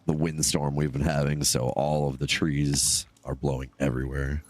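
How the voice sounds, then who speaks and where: A man's voice: very deep voice, little pitch movement, normal speed, faint music behind. A male streamer chatting casually with his viewers while he plays, stuffed up from a cold and rambling between topics. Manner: rambling, chatty, answers chat comments. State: stuffed-up nose. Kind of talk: casual live-stream chat while gaming.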